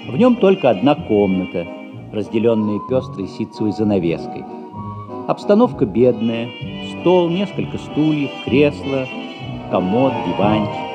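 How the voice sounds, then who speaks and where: A man narrating a theatrical audio play in a scripted storytelling style. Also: lowish voice. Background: violin music.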